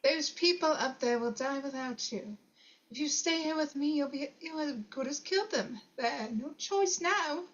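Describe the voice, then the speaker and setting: A woman's voice: high-pitched, moderate pace, natural voice. A woman playing a terrified, tearful yet determined young woman in a script table read, on a slightly muffled microphone. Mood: fearful, determined.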